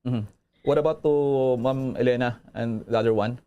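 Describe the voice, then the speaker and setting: A man's voice: mid-range voice, fairly even intonation, moderate pace, mellow, clean tone, lo-fi sound. A man with a Filipino accent hosting a radio interview, hesitant, with many fillers. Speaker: news anchor.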